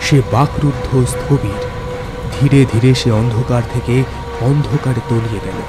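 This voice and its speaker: A man's voice: medium pitch, measured pace. A man narrating a horror story in a dramatic, scripted storytelling style. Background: music.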